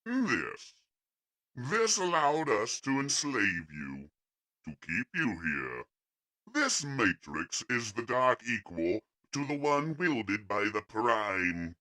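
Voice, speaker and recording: A cruel, cowering alien servant from a sci-fi comic reading, played by a man. The voice is bright and forceful, with pitch that swings widely. Words are stretched out slowly and dramatically. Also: wildly swooping pitch, exaggerated voice. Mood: menacing, gloating. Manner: slow, dramatic, stretched delivery. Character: cowering alien Quintesson servant, cruel yet pleading, male.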